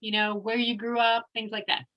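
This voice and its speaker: Upbeat woman host with a bright voice. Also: a little high, flat delivery, moderate pace, poor audio. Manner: upbeat and eager, asks open questions. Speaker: podcast host. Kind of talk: casual interview chat.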